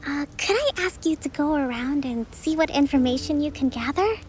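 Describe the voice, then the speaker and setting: A soft-spoken young woman who leads an island people, polite and tactful. Her voice sits very high, with clear game music underneath. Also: expressive, moderate pace. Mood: calm. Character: young female island leader, gentle and tactful strategist. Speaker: military leader. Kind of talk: video game voice acting.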